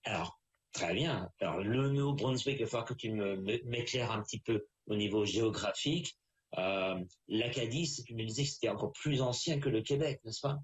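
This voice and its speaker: Low-voiced middle-aged man hosting. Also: moderate pace, slightly rough. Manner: repeats words, rambling, hesitant phrasing. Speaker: interviewer, show host. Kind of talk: casual, friendly interview.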